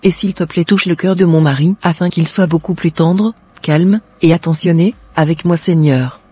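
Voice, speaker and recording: A man voicing a devoted, pious young wife who speaks softly and prays for her husband. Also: fairly high pitch, normal speed, breathy edge. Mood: prayerful. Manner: soft, pleading, prayerful.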